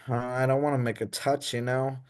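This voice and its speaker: An actor playing an anxious, dim young gentleman in a comic period radio play, dithering and apologetic in posh old-fashioned slang. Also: mid-range voice, level tone, slow, natural voice. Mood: sheepish. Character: anxious, dim young upper-class Edwardian gentleman in trouble.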